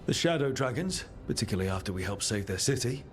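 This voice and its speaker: A man's voice: lowish voice, expressive, fast talker, a little hiss or hum, lightly acted. Earnest young hero leader played by a man. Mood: resolute. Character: young male leader of heroes, earnest, resolute. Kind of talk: dramatic video game acting.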